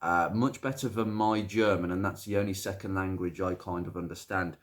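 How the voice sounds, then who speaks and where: British guy doing car video commentary, lowish voice a bit croaky from hay fever, chatty, kinda rambles. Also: steady tone, normal speed. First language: English. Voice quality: slightly croaky. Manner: chatty, hesitant, rambling asides. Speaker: presenter. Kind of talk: informal car video commentary, reading out a viewer's message.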